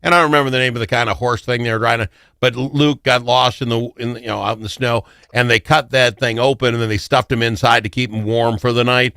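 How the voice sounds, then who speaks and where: A man's voice: medium pitch, fairly even intonation, fast, sharp tone, clean tone, dry recording, clean studio sound. A man hosting a radio show, chatting casually and cracking jokes at his guest. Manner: joking, teasing.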